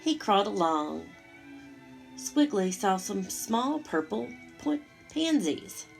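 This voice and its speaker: Woman, children's storytime reader, creaky edge, expressive child-directed asides, read-aloud style. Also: medium pitch, takes it slowly, faint music behind.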